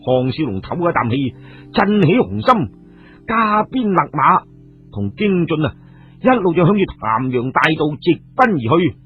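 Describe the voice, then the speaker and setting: Man, dramatic story narration, widely swooping pitch, emphatic, phone-like narrow sound, background music. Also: normal speed, slightly rough. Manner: animated, emphatic storytelling with asides. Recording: tinny narrow-band radio sound, music behind.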